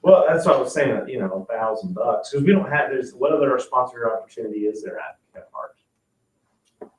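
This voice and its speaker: A man's voice: mid-range voice, normal speed. A man who is a board member, chatting in an informal, easygoing way at a meeting. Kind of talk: casual meeting discussion. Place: indoor public board meeting room. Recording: echoey room, distant mic, background noise.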